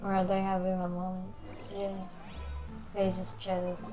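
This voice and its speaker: A woman streaming a game, giving casual, halting reactions as she thinks aloud. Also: fairly low pitch, completely flat delivery, warm tone, faint music behind. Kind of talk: casual reaction commentary over gameplay.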